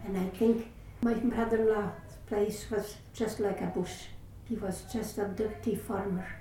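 This is an older woman with a Latvian accent, Latvian being her first language, telling her life story in an oral history interview. She speaks haltingly, often stopping to search for words and restarting. Her voice is mellow and dark, recorded from a distance in a very roomy space with a faint hiss underneath. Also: mid-range voice, steady tone.

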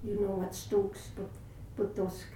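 An older woman with a Latvian accent, her first language, telling her life story in an oral history interview. She speaks haltingly, searching for words and restarting often. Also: mid-range voice, monotone, mellow. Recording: roomy echo, distant mic, faint hiss.

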